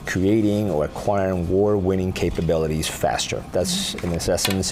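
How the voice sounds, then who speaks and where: A very deep-voiced man from Peru speaks as an army sergeant major in a live broadcast interview. He talks earnestly and in measured steps, often restarting his phrases. Clear background noise from an outdoor event runs under his voice.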